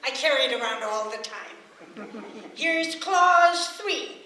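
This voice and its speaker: Echoey, high-voiced brash maid. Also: female voice, expressive, slow, pushed and bright, full character voice. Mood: defiant. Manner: blunt, put-upon, argumentative. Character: brash, stubborn maid who talks back to her employers. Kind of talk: old radio domestic comedy acting. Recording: very echoey, distant, faintly hissy radio-play recording.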